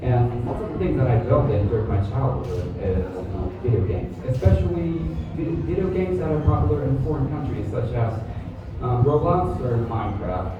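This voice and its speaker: A man's voice: mid-range voice, lively intonation, a little fast. A teenage boy, answering contest questions candidly with many "you know" fillers. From Vietnam. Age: teens. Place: large echoey school hall. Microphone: far from the microphone. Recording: tinny, echoey hall from far off, hiss.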